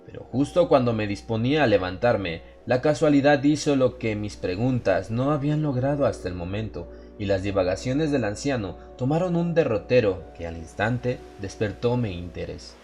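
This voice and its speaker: A man's voice: medium pitch, expressive, moderate pace, few pauses, darkish tone. A man giving a scripted first-person narration of a horror story as an audiobook narrator. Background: music behind the voice.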